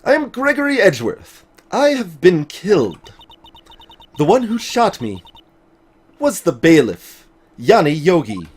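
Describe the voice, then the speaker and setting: A man's voice: high-pitched, huge pitch swings, measured pace, husky, exaggerated voice. A man voicing the solemn, declaiming ghost of a murdered lawyer in a video game. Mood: solemn, eerie. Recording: music behind.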